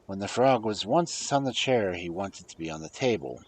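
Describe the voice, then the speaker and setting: A man reading fairy tales aloud as a storyteller, with a husky voice and a dramatic delivery that moves between hushed and emphatic. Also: low-pitched, expressive, moderate pace, slight background noise, rough recording. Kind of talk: expressive fairy-tale narration, read aloud.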